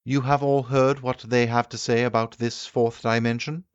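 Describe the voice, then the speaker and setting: A man voicing an eager, assured Victorian gentleman inventor lecturing his guests in an emphatic, declamatory way, as in an audiobook. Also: mid-range voice, level tone, normal speed, clean tone, dry recording, dull audio, pitched above the performer's own voice, lightly acted. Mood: eager explaining. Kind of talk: audiobook character acting.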